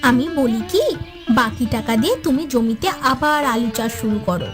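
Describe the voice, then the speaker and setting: A woman's voice: on the high side, expressive, normal speed, over music, close to an everyday voice. A woman playing a caring, eager younger co-wife in a village cartoon, with a sweet manner. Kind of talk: cartoon voice acting.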